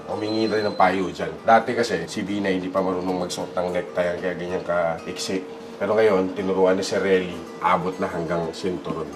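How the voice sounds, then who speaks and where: A man acts out a vain Filipino politician who badly wants the presidency. He speaks in a halting, colloquial way, always fishing for approval, as in a satirical comedy sketch. Guitar music plays clearly behind him. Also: sits low, little pitch movement, close to an everyday voice. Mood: eager, scheming. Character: vain, eager mustachioed politician hoping to become president.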